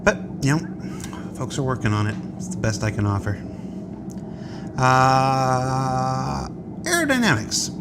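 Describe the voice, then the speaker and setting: A man's voice: medium pitch, animated, slow pace, long pauses. A man hosting a casual panel chat and reading viewer questions in a wry, conversational way. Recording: loud ambient noise behind voice.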